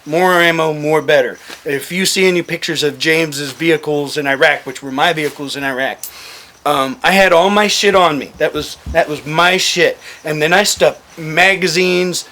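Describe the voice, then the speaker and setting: A man's voice: on the high side, moderate pace, bright, forceful tone. A man telling stories casually to a group in a blunt, joking, heavily profane way. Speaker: firearms gear talk guest and former overseas contractor. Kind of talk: casual storytelling to a small group.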